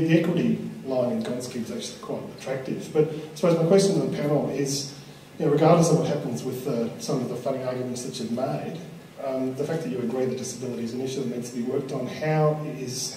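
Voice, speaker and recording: Fast-talking man in an echoey hall. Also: mid-range voice, warm tone. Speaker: audience member asking question. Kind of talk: audience question. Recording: echoey hall, mic far off, noisy.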